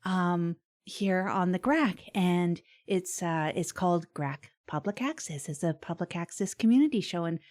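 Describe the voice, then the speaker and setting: A woman's voice: lowish voice, normal speed, hardly any pauses, a little mellow, close to an everyday voice. A woman playing an upbeat, earnest community radio host in an improvised comedy podcast, eager and reassuring. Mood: tentative, hopeful.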